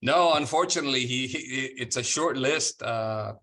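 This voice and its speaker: A middle-aged man from Honduras, a guest talking casually in an interview, relaxed with many restarts and fillers. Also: mid-range voice, normal speed. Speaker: guest, citrus company president.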